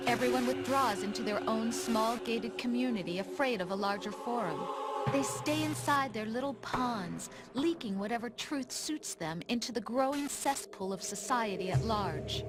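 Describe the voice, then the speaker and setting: A woman's voice: fairly high pitch, moderate pace, close to an everyday voice. Condescending female machine intelligence played by a woman. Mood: calm, condescending. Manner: condescending, calm, lecturing. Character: female artificial intelligence, condescending, coolly patronizing. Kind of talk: video game cutscene acting. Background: music.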